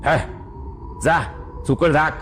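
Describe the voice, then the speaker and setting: A man's voice: on the high side, fairly even intonation, measured pace, a bit bright, soft background music. A man voicing an irritable, grumbling village father who is short on patience in a children's cartoon. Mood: angry scolding. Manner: grumbling, exasperated, dismissive.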